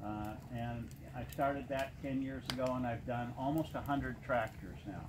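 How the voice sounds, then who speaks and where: A man in his late fifties giving an informal personal testimonial to an audience in a halting, plain-spoken way with frequent pauses. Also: mid-range voice. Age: late 50s. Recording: noisy live room recording.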